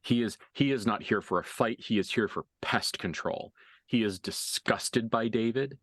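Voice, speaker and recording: A man's voice: on the low side, normal speed, no echo. A man working as game master, narrating a tabletop horror game in a vivid, improvised, dramatic storytelling manner.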